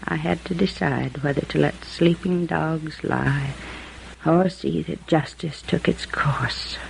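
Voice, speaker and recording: Frail, creaky-voiced old aunt. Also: female voice, deep voice, a little hiss or hum, full character voice, low-quality recording. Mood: weak, sorrowful. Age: older. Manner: halting, gently scolding. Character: dying elderly aunt, gently scolding her murderous nephew. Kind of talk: 1950s radio drama acting. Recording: old radio recording with music behind.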